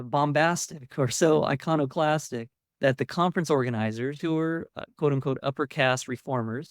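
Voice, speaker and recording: A male academic delivers a lecture in a mid-pitched voice. He explains ideas conversationally, stressing key words as he goes. The sound is dry, with very little room on it. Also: dry recording. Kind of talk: public academic lecture with slides.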